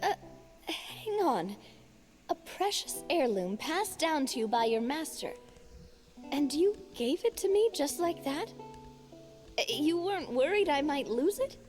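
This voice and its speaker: A woman's voice: high voice, measured pace, long pauses, faint music behind. A woman voicing a cheerful, earnest young noblewoman in a game. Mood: playful. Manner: lively, earnest, a bit wistful. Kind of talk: game voice acting.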